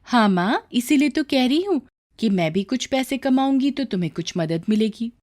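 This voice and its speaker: Woman acting an earnest little girl, raised pitch. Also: expressive, moderate pace, darkish tone, lightly acted. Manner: pleading, earnest. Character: earnest, caring little daughter.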